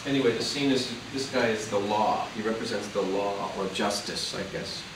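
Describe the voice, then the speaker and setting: A man casually explaining a puppet show in a halting, informal way. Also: on the low side. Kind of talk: casual explanation to camera visitor. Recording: echoey room, distant mic, loud background noise.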